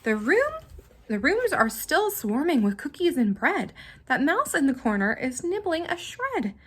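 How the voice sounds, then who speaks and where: A woman reading a children's story aloud in an expressive, dramatic manner, with simple asides for young listeners. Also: sits high, a little slow, slight background noise. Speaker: storybook reader.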